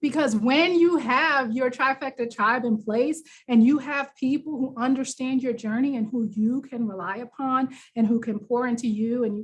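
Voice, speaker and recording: High-voiced New York woman leading a workshop. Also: rough recording. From New York, United States. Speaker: workshop presenter, business coach. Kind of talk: conversational workshop presentation.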